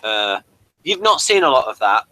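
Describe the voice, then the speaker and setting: Bright-voiced man, rambling review host. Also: medium pitch, very animated, moderate pace, no room sound. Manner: rambling, stop-start, thinking aloud. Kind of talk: casual unscripted chat and commentary.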